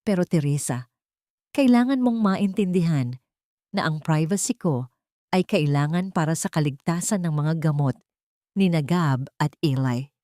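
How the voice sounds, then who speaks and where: A woman voicing a scheming female doctor who feigns gentle concern, speaking in a measured, falsely caring way. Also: sits low, lively intonation, no room sound, studio quality. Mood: feigned patience.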